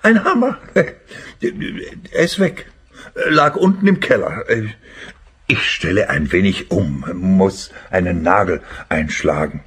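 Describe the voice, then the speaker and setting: An actor playing a nervous, bumbling husband in a comic radio play, hesitant and flustered. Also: male voice, low voice, sing-song, slow, a bit bright, slightly rough, exaggerated voice.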